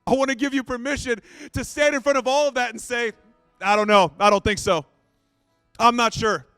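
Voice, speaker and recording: A man's voice: very high-pitched, steady tone, brisk, fairly bright tone, smooth, dry recording, soft background music. A man in his late thirties preaching in a conversational, self-deprecating way, often repeating his phrases. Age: 30s. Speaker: pastor. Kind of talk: sermon.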